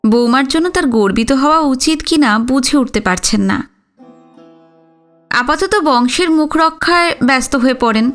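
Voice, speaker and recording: A woman's voice: sits high, animated, moderate pace, fairly bright tone, soft background music. A woman reading scripted narration for an audio story as its narrator.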